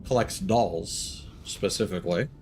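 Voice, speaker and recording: Low-voiced man musing through a game stream. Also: measured pace, darkish tone, a little hiss or hum. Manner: musing, thinking aloud. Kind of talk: casual live gameplay commentary.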